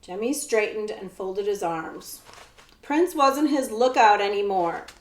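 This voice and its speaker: A woman librarian reading a children's story aloud, pausing for casual explanations. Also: mid-range voice, lively intonation. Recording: echoey room sound.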